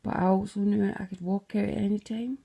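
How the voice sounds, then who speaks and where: Scottish woman, gravelly low-key voice, rambling and sweary, drops to whispers. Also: level tone, fairly quick, dark tone. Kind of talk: casual dream retelling to camera.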